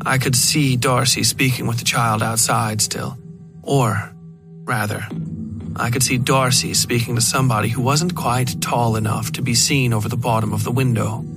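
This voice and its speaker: A man reading scripted first-person horror fiction as a podcast narrator, close to the microphone. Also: medium pitch. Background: faint music.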